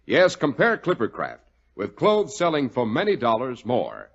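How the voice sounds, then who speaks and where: A man's voice: medium pitch, huge pitch swings, moderate pace. An American man announcing in scripted 1940s radio style, with a polished, emphatic sales delivery. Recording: dull vintage 1940s radio recording.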